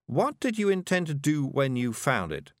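A man voicing a prim, polite older witch who speaks slowly and carefully. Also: medium pitch, sing-song, no echo, studio quality, pitched above the performer's own voice. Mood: puzzled. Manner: slow, careful, genteel.

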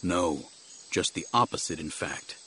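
Man doing stern male warrior master, audiobook character, very deep, grim, terse, commanding, controlled. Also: animated, unhurried, sharp tone, husky, full character voice. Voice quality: low, grim.

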